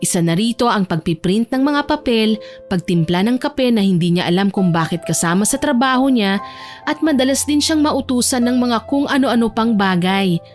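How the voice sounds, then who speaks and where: A woman's voice: fairly high pitch, animated, normal speed. A woman reading scripted narration for a romance audio drama, with music playing behind her.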